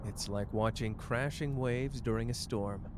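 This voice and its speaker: A man's voice: mid-range voice, unhurried, background noise, lightly acted. A man playing a cocky, caring young sorcerer in a roleplay ASMR drama, teasing and tender as the listener's partner. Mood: concerned.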